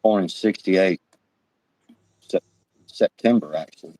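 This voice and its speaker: A man's voice: low voice, unhurried, mellow, no echo, low-quality recording. A man in his late fifties co-hosting a livestream, chatting easygoing and swearing casually. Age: 50s. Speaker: livestream co-host.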